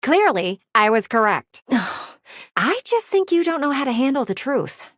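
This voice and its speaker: An actress voicing a pushy, blunt talking window in a video game, with bossy, dramatic emphasis. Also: female voice, sits high, sing-song, measured pace, a bit bright, no room sound, clean studio sound, exaggerated voice. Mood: frank, firm.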